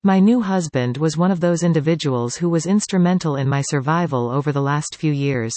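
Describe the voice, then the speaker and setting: A woman in her forties from Canada reading a personal essay aloud, with careful, clearly enunciated speech. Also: low-pitched, brisk, dark tone, no room sound. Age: 40s.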